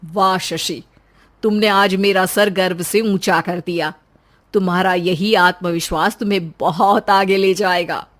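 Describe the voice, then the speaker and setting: A woman's voice: on the low side, fairly even intonation, a little slow, a bit bright. A woman voicing an encouraging but firm older woman who owns a school, emphatic and reassuring, in a story. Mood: proud.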